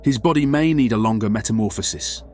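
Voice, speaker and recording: Man as a low, coaxing vampire lord. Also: a little mellow, natural voice. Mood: smoothly persuasive. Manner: measured, formal, coaxing. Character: self-interested, persuasive elder male vampire councilman. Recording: dry recording over music.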